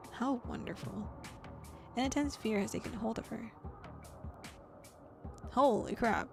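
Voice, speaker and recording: A woman streamer reading a visual novel aloud in first person, breaking off into animated, exclaiming reactions. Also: medium pitch, vocal fry. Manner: animated reactions, gasps and laughs between read passages. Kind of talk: game playthrough, reading text plus reactions.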